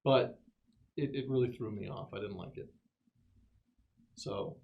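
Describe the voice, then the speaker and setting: A man's voice: lowish voice, unhurried, gravelly, highs cut off. A man playing a tabletop roleplaying game, bantering casually in character.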